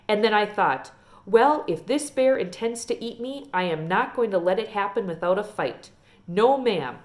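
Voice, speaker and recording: A woman's voice: medium pitch, animated, moderate pace, natural voice. A woman voicing a genteel, wistful old small-town librarian lady from a storybook, telling tales in a folksy, emphatic way. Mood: dramatic, proud.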